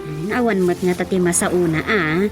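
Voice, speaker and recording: A woman's voice: lowish voice, moderate pace, close to an everyday voice. A woman playing an insistent grown daughter in an Ilocano radio drama, speaking with an Ilocano accent. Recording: music behind the voice.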